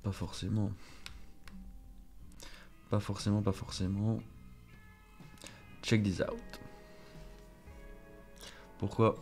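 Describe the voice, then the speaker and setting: A man's voice: fairly low pitch, level tone, drawn-out words, long pauses, darkish tone, soft background music. A French-speaking man casually commenting on his own gameplay, chatty and self-mocking, swearing under his breath. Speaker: gaming streamer. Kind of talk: casual let's play commentary.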